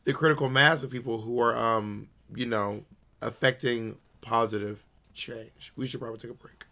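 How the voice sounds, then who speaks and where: A man's voice: mid-range voice, fairly even intonation, normal speed, creaky, bright, forceful tone. A man co-hosting a podcast in casual chat, with a teasing, sassy way of talking. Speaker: podcast co-host.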